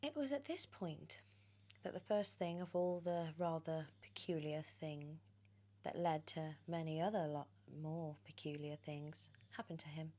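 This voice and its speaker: A British woman reading a storybook in ASMR style, drifting between soft whispers and expressive, animated speech. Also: low-pitched, a little slow. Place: on a sofa indoors.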